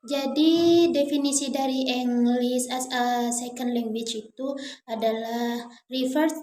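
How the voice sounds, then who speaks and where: Young woman reading class notes into her phone, high voice, faint hiss. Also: a little mellow. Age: young adult. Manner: reads aloud from notes, slipping into Indonesian. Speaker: student presenter. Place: small indoor room. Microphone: phone camera at close range. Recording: echoey room, faint noise.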